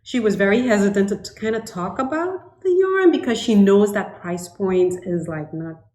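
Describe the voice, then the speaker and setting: A woman's voice: mid-range voice, expressive, moderate pace, darkish tone. Chatty woman co-host in echoey room. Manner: chatty, laughs often, trails off with ums. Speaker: podcast co-host. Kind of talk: casual chat about knitting. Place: home living room, indoors.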